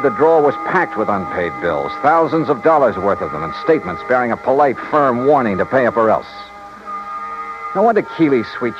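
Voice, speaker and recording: An old, muffled radio drama with music underneath. A man acts out a hard-boiled investigator whose pitch swings expressively as he talks. He is wry, skeptical and pointed, in classic detective style. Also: lightly acted. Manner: wry, clipped, pointed questioning. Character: hard-boiled, skeptical male private investigator, dryly probing. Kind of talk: 1950s-60s radio detective drama, first-person narration. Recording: dull old radio recording, music behind.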